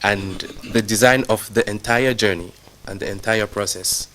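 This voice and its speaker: A man with a somewhat low voice answers questions on a television talk show, explaining technical ideas in a measured, deliberate way and choosing his words with care. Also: fairly even intonation, bright, forceful tone, a little hiss or hum. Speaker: space program consultant guest. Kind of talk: TV interview.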